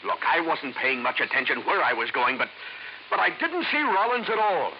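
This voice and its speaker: Defensive suspect, higher voice jumping around, indignant, old radio play. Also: male voice, big pitch swings, normal speed, highs cut off. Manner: protesting, defensive. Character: indignant, evasive male murder suspect. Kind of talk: mid-century American radio drama acting. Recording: band-limited old radio recording, faint noise.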